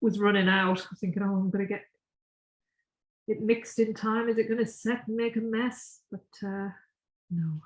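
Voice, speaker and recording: A woman with a croaky voice, chatting casually with her co-host while she paints and often trailing off mid-sentence. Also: mid-range voice, fairly even intonation, normal speed, roomy sound, rough recording.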